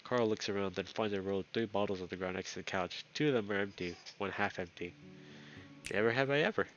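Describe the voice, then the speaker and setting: Lowish guy reading a visual novel out loud, muffled lofi sound. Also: fairly even intonation, fast talker. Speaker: video game let's player. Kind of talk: narrating a visual novel aloud. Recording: dull lo-fi audio under loud guitar music. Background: loud plucked guitar music.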